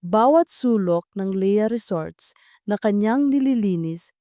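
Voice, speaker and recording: Woman telling a dramatic story, soft mellow voice, really dry sound. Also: medium pitch, lively intonation, takes it slowly, no echo. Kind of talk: scripted dramatic story narration.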